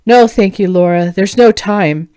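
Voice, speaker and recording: A woman's voice: mid-range voice, a little slow, warm tone, clean tone, no echo, lightly acted. A woman voicing a middle-aged farmer man who brings urgent news in a fantasy storybook. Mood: flustered. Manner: breathless, urgent, solemn.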